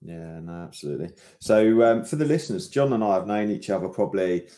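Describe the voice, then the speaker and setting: A man's voice: low-pitched, animated, fast talker, dark tone. A man with a British accent hosting a podcast interview in a casual, matey, encouraging way, often calling his guest mate. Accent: British English. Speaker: podcast host.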